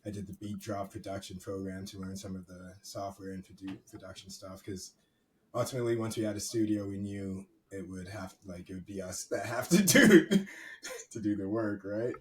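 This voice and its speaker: A man, a guest in a casual interview, talking in a relaxed, rambling way with many fillers. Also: low voice, normal speed. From Canada. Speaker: guest, music executive. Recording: distant mic with faint background noise.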